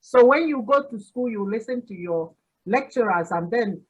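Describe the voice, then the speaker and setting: A Nigerian woman in her sixties, an Igbo speaker, telling her story as a podcast guest with frequent pauses and laughter. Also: on the high side, expressive, a little mellow, slightly rough, muffled recording. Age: 60s. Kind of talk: reflective interview answer.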